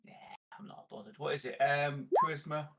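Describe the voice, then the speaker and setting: A man's voice: a little high, level tone, brisk, fairly bright tone, slightly rough. A man playing a tabletop roleplaying game, talking casually and negotiating with the others.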